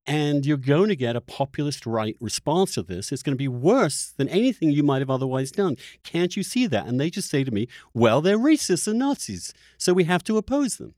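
A man's voice: fairly high pitch, big pitch swings, fairly quick, hardly any pauses, a little mellow, no echo, studio quality. A man hosting a podcast interview, speaking in an opinionated, argumentative and questioning way with a lot of emphasis. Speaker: podcast host.

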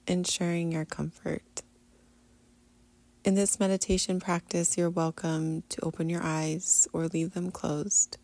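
Female meditation guide, very even and low, soothing and unhurried, drifting toward a whisper. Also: dry recording. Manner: soothing, unhurried, often trailing into breathy near-whisper. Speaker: guided meditation teacher, podcast host. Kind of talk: guided meditation, soft instruction.

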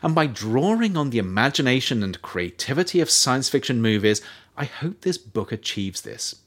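A man reading his own non-fiction book aloud as scripted narration, with measured, emphatic phrasing and pointed pauses. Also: medium pitch, big pitch swings, a bit bright.

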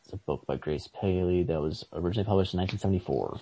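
A man in a casual literary podcast discussion, co-hosting and often halting as he searches for words. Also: sits low, warm tone, husky. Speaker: podcast co-host. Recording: lo-fi podcast audio.

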